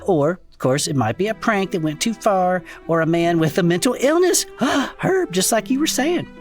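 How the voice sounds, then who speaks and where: A performer playing an irreverent, excitable non-human cryptid podcaster in a comedic audio drama, talking in folksy slang. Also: medium pitch, normal speed, faint music behind, lightly acted. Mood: bemused. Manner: folksy slangy casual speech, chuckling. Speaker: co-host of a cryptid discussion show. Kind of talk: improvised comedic audio fiction banter.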